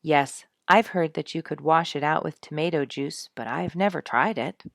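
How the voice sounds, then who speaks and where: A woman voicing a knowing, calm outdoorsman in a storybook, measured, helpful and gently wry. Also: low-pitched, gravelly, no echo, studio quality.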